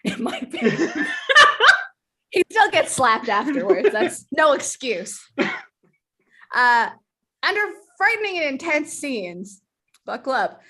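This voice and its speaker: A woman's voice: high-pitched, big pitch swings, slow pace, pauses often, bright, forceful tone. A woman guest-hosting a trivia game show over a video call, chatty, giggly and self-deprecating.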